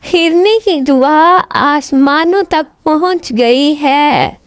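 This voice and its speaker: A radiant old holy man from a storybook dream, put on by a woman. He gives solemn blessings very slowly in a high voice, kindly and proclaiming. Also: no echo.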